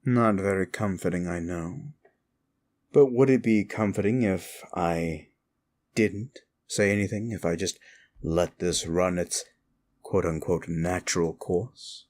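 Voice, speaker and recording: A man's voice: low-pitched, fairly even intonation, slow, a little mellow. A man playing a sly, evil demon who taunts and manipulates in an intimate ASMR roleplay, teasing and condescending. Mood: sly, brooding.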